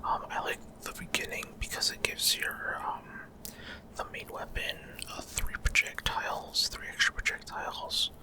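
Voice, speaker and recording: A man whispering ASMR video game commentary in a breathy, unvoiced voice, explaining things in short, hesitant, rambling phrases. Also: moderate pace. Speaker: gaming video creator.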